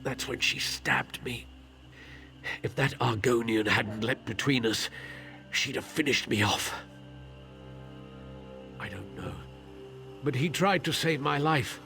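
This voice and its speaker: An actor playing a desperate, wounded, grieving husband in a fantasy video game, speaking haltingly and in pain. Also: male voice, medium pitch, gravelly, bright, forceful tone, exaggerated voice. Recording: music behind the voice.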